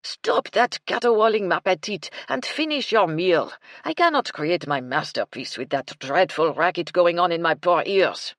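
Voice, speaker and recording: A woman's voice: fairly low pitch, expressive, moderate pace, hardly any pauses, a bit bright, dry recording, clean studio sound. A woman voicing a volatile male French chef with a French accent, pompous and grumbling in a theatrical way. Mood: exasperated.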